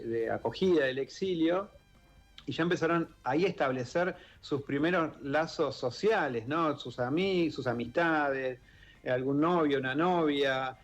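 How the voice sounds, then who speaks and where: A man's voice: mid-range voice, dull audio. A man with a Rioplatense Argentine accent, a radio interview guest speaking thoughtfully, with many fillers and restarts. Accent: Rioplatense Spanish. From born in Italy, raised in Argentina. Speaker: guest, author and member of an exile-children group. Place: radio studio.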